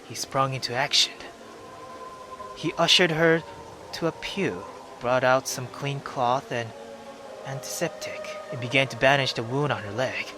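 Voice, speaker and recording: A man reading a visual novel aloud in a slow, steady storytelling manner, now and then correcting himself. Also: medium pitch, noisy background. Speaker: gaming YouTuber. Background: game music.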